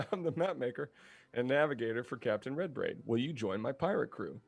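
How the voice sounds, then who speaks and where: A man's voice: mid-range voice, expressive, fairly quick, gravelly. A man voicing an eager young female pirate navigator, reading her lines in an earnest, chatty way.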